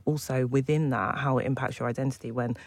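A British man talks in a relaxed panel discussion, weighing his words and laughing easily. His voice sits at an everyday middle pitch. The room is dry and close, with no echo. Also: fast talker. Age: adult, past his twenties. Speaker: panel guest. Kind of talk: casual discussion.

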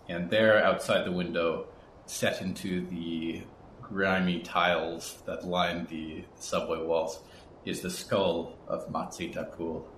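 A man's voice: deep voice, a bit bright. A man hosting an interview podcast with an atmospheric storyteller's delivery. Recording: roomy echo, audible background noise.

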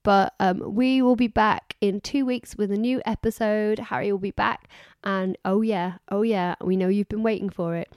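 A woman's voice: medium pitch, fairly even intonation, normal speed, darkish tone, clean tone, no room sound, studio quality. A woman co-hosting a podcast in casual, effusive, rambling chat while she is losing her voice. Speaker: podcast co-host.

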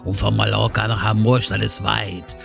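A man's voice: fairly low pitch, level tone, moderate pace, gravelly. A man playing a chatty, grumbling electrician who rambles to himself in a comic radio-play monologue. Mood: grumbling, musing. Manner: rambling, self-talking, with tag questions. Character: chatty male electrician grumbling about a long trip. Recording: music under the voice.

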